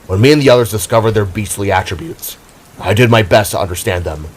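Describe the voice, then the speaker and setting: A man's voice: lowish voice, quick pace, slightly rough. A man voicing a stern, knowledgeable older brother, a glowing energy warrior in an audio drama, speaking in a grave, explanatory way. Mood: serious.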